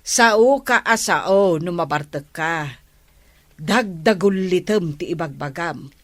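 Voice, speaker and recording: A woman's voice: fairly low pitch, lively intonation, takes it slowly, a bit bright, no room sound. A woman with an Ilocano accent playing a nagging, plain-spoken village wife in a radio comedy drama. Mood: scolding.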